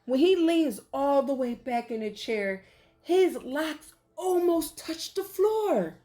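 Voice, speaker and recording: Slow, higher-voiced woman reading to children. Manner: deliberate, animated storytelling, pauses to question young listeners. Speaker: teacher reading a picture book aloud. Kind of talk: expressive children's read-aloud with questions to listeners.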